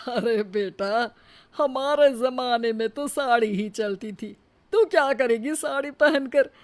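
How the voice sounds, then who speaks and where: A woman voicing a gentle, modest older mother-in-law in a cartoon story, fond and a little hesitant. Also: a little high, takes it slowly. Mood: fond, reluctant.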